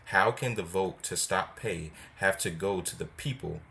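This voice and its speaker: A man hosting a video, giving opinion commentary and reading comments in a measured, formal way. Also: low voice, gravelly, sharp tone, a little hiss or hum.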